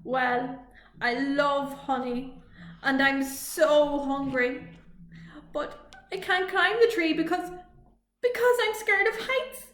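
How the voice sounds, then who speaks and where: A woman doing big male bear, bashful, plaintive, gentle, high-voiced, storybook, roomy echo. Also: slow, pauses often.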